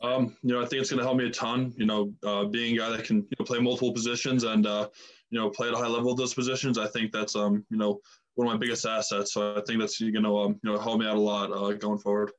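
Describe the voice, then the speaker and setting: A young man, a rookie football lineman, answering reporters in a flat delivery that leans heavily on filler phrases. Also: lowish voice, fast talker. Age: young adult. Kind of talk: press conference answers.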